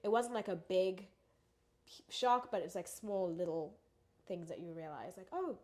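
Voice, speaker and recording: A woman's voice: fairly low pitch, measured pace. A young woman from South Africa with a South African accent, chatting as an interview guest with lots of filler words. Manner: chatty, many "like" fillers, lively and animated. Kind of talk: casual interview chat.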